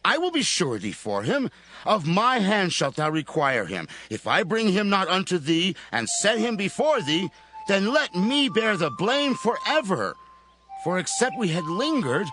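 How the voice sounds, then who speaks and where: A man's voice: high-pitched, very animated, unhurried, fairly bright tone, a little hiss or hum, exaggerated voice. An actor playing an earnest grown son who pleads with his father in a dramatized scripture reading. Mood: pleading.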